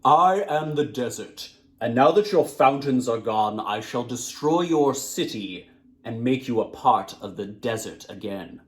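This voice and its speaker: A huge, menacing djinn of the desert voiced by a man reading a storybook, loud and commanding, with grand, imperious delivery. The pitch moves in big, dramatic sweeps. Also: animated, unhurried, close to an everyday voice. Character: enormous booming sandstorm djinn, menacing and imperious.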